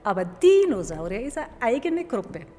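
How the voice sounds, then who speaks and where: A woman's voice: fairly high pitch, sing-song, normal speed, dark tone. Woman explaining science in an echoing hall. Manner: clear, didactic, emphatic repetition. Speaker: museum paleontologist. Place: large echoing indoor museum hall. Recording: reverberant hall with background noise.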